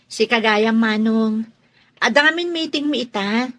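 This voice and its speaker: Higher-voiced, polite Filipino schoolteacher, acted by a woman. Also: measured pace, fairly bright tone. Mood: polite, cheerful. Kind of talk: Philippine radio drama acting.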